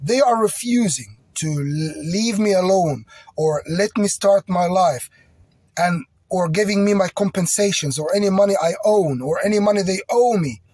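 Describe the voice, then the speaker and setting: A man's voice: high-pitched, normal speed, darkish tone, no room sound. A man whose first language is French, speaking English in an agitated, repetitive personal video appeal.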